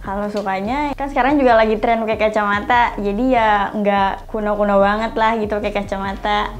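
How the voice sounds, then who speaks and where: A woman's voice: medium pitch, fairly even intonation, brisk, slight background noise. A woman, chatting casually about herself. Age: 20s. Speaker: employee interviewee. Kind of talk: casual interview answers.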